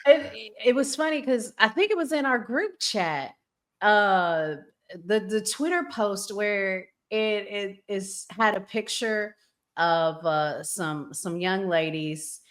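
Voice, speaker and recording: A woman co-hosting a casual chat, agreeable in manner, speaking in hesitant phrases with pauses. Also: medium pitch. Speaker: podcast co-host.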